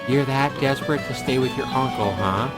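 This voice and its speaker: Man playing a teasing, concerned guy, pitched up. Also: normal speed, natural voice. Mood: teasing, prodding. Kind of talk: visual novel character reading. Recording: music behind the voice.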